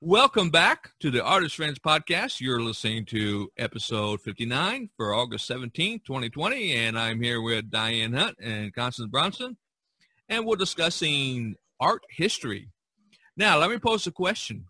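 Bright-voiced man hosting, lively intonation. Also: mid-range voice, normal speed, breathy edge, no echo. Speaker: podcast host. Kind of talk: casual podcast discussion.

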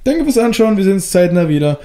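Chatty young German man, fairly high voice. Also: very animated, fast, mellow. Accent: German with a slight foreign lilt. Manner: chatty, frank, laughs at himself. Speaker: unboxing reviewer. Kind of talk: casual unboxing commentary.

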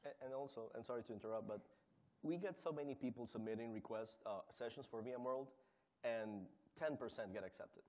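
Quick-talking male panelist. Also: medium pitch, a little mellow. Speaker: panelist, community volunteer. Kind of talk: conference panel talk.

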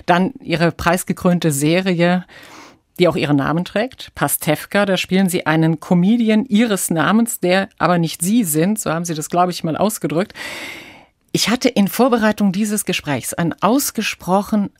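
A woman hosting a radio interview in a measured, conversational moderating style. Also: on the low side, no echo, studio quality. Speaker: radio host. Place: dry radio studio.

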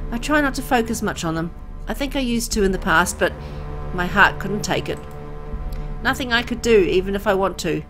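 A woman playing a weary, soft-hearted young woman who narrates her bleak town in a visual novel, reflective and conversational. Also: mid-range voice, lightly acted. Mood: somber. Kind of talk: scripted first-person visual novel narration. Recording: music under the voice. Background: background music.

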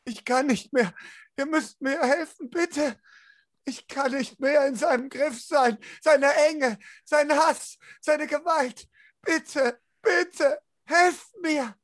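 Man putting on a high-pitched, desperate pleading woman. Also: slow, fairly bright tone, no room sound, heavily acted. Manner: pleading, urgent, repetitive. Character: terrified, desperate captive woman begging for rescue.

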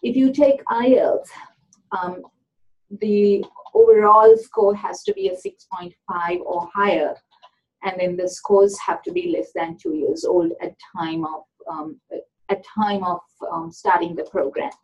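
A woman's voice: mid-range voice, animated, a little slow, creaky. A woman walking through admission requirements in an informational webinar, explaining step by step with frequent "um" fillers. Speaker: admissions presenter. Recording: dull lo-fi webinar audio.

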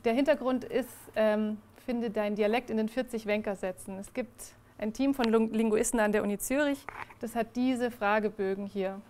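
A young woman presents her projects to a small audience in an indoor room, with a slightly high voice. She talks haltingly, with frequent fillers and restarts. The sound is picked up by a room mic at some distance. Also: fairly even intonation, a little mellow, a little hiss or hum. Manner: hesitant, many fillers and restarts. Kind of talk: presentation talk.

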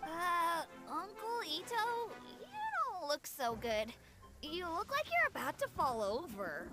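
A woman's voice: extremely high pitch, very animated, takes it slowly, full character voice. A woman voicing an eager, trusting little boy in a video game, childlike and pleading. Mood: puzzled. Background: game music.

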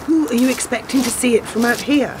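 A woman's voice: fairly high pitch, fairly quick, background noise, close to an everyday voice. A woman playing a sceptical, dry-witted woman in a radio play, full of questions. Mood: wry, complaining.

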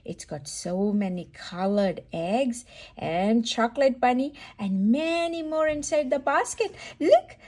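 A woman's voice: on the high side, lively intonation, unhurried, darkish tone. A woman reading a picture book aloud to toddlers as a storytime host, in a sing-song, exclaiming manner with simple questions for a small child.

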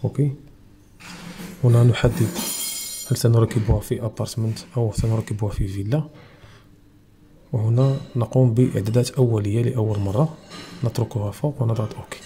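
A man's voice: lowish voice, steady tone, brisk, pauses often, noisy background. A Moroccan man with a Moroccan Arabic accent, hosting a technical tutorial and explaining each step of a device setup. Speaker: channel host.

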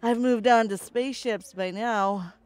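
Fierce, unhurried woman pilot played by an actress. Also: a little high, fairly even intonation, darkish tone, natural voice. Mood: warm, confident. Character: fierce, foul-mouthed, hopeful woman pilot in apocalypse. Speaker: voice actor. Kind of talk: dramatic sci-fi audio drama acting.

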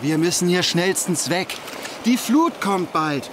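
A man's voice: sits high, expressive, slow, noisy background. A man playing a worried, helpless dad in a German cartoon dub, anxious and earnest. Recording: music behind.

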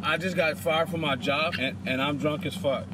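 A man's voice: fairly high pitch, steady tone, normal speed. A young man posing as a drunk drive-thru customer, rambling and repeating himself in casual banter inside a car. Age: young adult. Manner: acting drunk, rambling and repetitive. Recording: noisy car and street sound. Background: car and outdoor noise.

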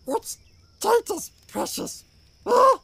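Wretched hissy cave creature, super croaky, slow, whining and repeating himself, audiobook style. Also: male voice, extremely high pitch, huge pitch swings, sharp tone, dry recording, pitched above the performer's own voice, heavily acted. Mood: whining, wary. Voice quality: hissing, croaky. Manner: pleading, repetitive, speaks of himself in third person. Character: wretched, whiny, sneering old cave creature. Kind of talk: dramatised audiobook character voice.

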